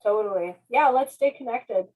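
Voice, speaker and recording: A woman's voice: a little high, moderate pace, a little mellow, poor audio. A woman chatting casually as a guest author, effusive and self-deprecating. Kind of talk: casual question-and-answer chat.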